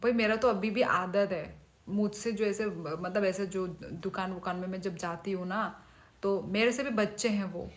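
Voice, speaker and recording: A woman's voice: medium pitch, normal speed. Chatty woman in her thirties. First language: Kokborok. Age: 30s. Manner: chatty, reads viewer names aloud. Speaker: livestream host. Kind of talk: casual chat with viewers.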